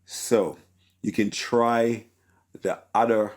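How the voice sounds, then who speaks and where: Deep-voiced man explaining mixing settings. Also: slow. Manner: relaxed, filler-heavy explaining with frequent "okay?". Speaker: mixing engineer teaching a tutorial. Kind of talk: casual screen-recorded tutorial.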